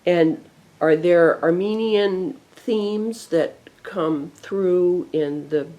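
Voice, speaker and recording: An interviewer asking questions in a slow, hesitant way. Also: mid-range voice, dark tone, dull audio.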